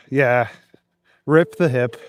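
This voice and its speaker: A man's voice: mid-range voice, drawn-out words. A man live streaming while out on cold city streets, reading chat aloud in an easygoing, chuckling, rambling way. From Canada.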